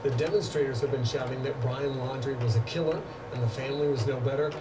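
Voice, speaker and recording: A man's voice: medium pitch, fairly even intonation, fast talker, over music. A man narrating a scripted television news report as a field reporter. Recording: distant, noisy broadcast monitor feed.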